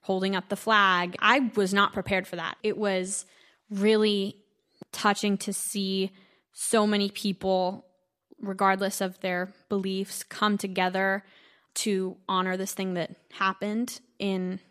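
A woman's voice: mid-range voice, level tone, takes it slowly, a bit bright, clean tone. A teenage girl from New York, a young classical singer, talking earnestly in a radio interview. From Brooklyn, New York. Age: teens. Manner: earnest, youthful, sometimes laughing.

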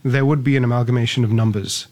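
Measured, wordy young man from Singapore. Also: mid-range voice, fairly even intonation, darkish tone. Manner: measured, wordy, formal phrasing. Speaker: startup founder, guest. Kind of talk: interview answers.

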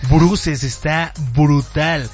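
Playful Mexican radio host, slangy. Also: male voice, on the high side, fairly even intonation, very slow, dull audio, over music. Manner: playful, slangy, laughs easily. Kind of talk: casual interview chat.

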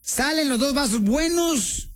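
Very high-pitched Mexican man hosting comedy radio. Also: expressive, measured pace. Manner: exclamatory, playful, slangy, addresses listeners directly. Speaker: radio show host. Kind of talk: comedic morning-radio banter. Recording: music playing behind. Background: music.

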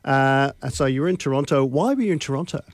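A man's voice: mid-range voice, lively intonation, fast, dry recording. A man hosting a community radio interview show, chatty and given to side remarks. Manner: friendly, chatty, prone to digressions. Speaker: radio host. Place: radio studio.

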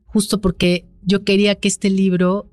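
A Mexican woman author being interviewed, speaking in a reflective, thoughtful way with pauses and restarts. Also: mid-range voice, little pitch movement, a little mellow, no room sound. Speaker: author, guest. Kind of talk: interview conversation.

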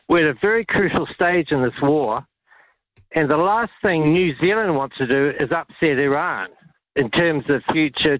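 A man's voice: fairly high pitch, dry recording, muffled recording. A man calling a radio show, earnestly arguing his point with restarts. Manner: earnest, argumentative, restarts a lot. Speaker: caller. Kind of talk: talkback call.

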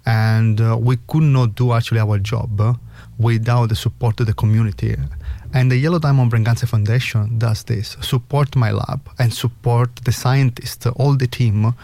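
A man's voice: mid-range voice, steady tone, normal speed, dark tone, no echo. A middle-aged man with a strong Italian accent, a researcher interviewed as a guest, speaking earnestly with many hesitations. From Italy (Sicily).

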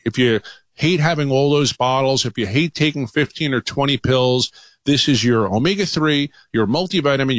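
A man's voice: mid-range voice, no room sound, studio quality. A man speaking as a doctor guest on an infomercial, conversational and enthusiastic as he endorses. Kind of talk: conversational promotional interview.

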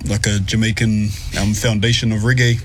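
A man with a New Zealand accent chatting casually and haltingly as a musician guest in an interview. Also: lowish voice, level tone, slight background noise. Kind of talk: casual chat.

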